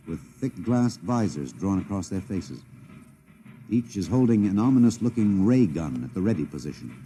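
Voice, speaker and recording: A man's voice: lowish voice, dark tone. An American actor playing an earnest male radio reporter giving live street commentary in a 1950s radio drama, addressing listeners formally. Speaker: announcer. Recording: noisy old 1950s radio recording.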